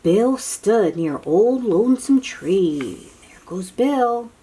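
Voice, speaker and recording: A woman's voice: medium pitch, husky, low-quality recording. A woman reading a picture book aloud to young children, dramatically, with asides and questions to the listeners. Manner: expressive, drawn-out, dramatic delivery with hushed moments.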